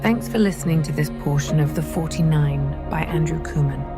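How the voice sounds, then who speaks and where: A woman delivers a scripted podcast sign-off in a fairly low voice. Music plays clearly underneath her announcement. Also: moderate pace.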